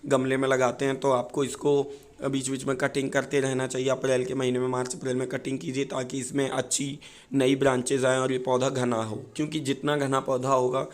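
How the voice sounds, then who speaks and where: A hoarse man presents gardening advice to viewers. His pitch stays flat and mid-range, and he explains informally, pausing and repeating himself as he goes. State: hoarse from a sore throat.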